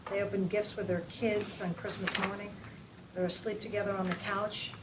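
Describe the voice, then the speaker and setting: Even-toned woman reading stage directions. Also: medium pitch, normal speed. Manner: plain, matter-of-fact. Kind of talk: scripted narration of screen directions. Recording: very noisy live room recording.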